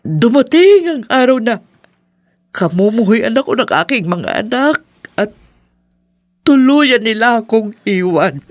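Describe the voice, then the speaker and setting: An actress playing a possessive, remorseful older mother in a radio drama, pleading slowly and haltingly with deep emotion. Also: dry recording. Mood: anguished pleading. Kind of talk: Filipino radio drama acting.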